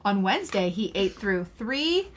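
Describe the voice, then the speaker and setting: A woman reading a picture book aloud as a mother to her young child, expressive and pausing to prompt. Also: mid-range voice, slight background noise.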